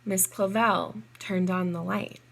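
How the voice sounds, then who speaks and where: A woman narrates a picture book for young children at a very unhurried pace. Her pitch is average for a woman, and she phrases deliberately and expressively, stretching out words. A faint noise sits behind her voice. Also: fairly even intonation, vocal fry, poor audio. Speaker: children's storybook reader, teacher. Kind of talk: read-aloud storybook narration for young children.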